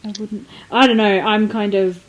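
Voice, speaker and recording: A woman's voice: medium pitch, steady tone, moderate pace, highs cut off. A woman podcast co-host chatting casually, questioning things in a playfully skeptical, hesitant way. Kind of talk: casual chat.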